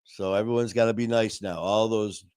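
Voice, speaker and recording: A man hosting a casual live stream, talking warmly to viewers in a rambling way with many fillers and restarts. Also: lowish voice, fairly even intonation, moderate pace. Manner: friendly and upbeat, addressing viewers. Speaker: livestream host.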